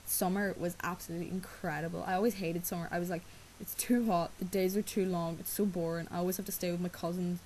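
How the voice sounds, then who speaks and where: Irish teen girl rambling on camera, sore throat, lowish voice, full of ums and likes. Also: darkish tone, slight background noise, poor audio. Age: teens. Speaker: vlogger. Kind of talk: casual chat.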